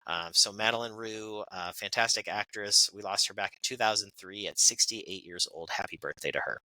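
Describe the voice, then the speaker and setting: A man with a bright, cutting voice pitched a little low reads birthday notes on a podcast, with casual asides and plenty of fillers. The sound is dry and close, with no room around it. He keeps a friendly, chatty pace. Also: normal speed, no room sound, poor audio. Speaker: podcast co-host. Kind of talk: casual birthday segment reading.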